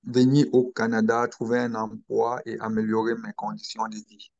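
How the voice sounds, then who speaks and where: A young man from Cameroon, speaking slowly and hesitantly as an interview guest about his own story. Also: lowish voice, monotone, vocal fry, darkish tone, no room sound, muffled recording. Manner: slow, hesitant, with pauses and restarts. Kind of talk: interview, personal account.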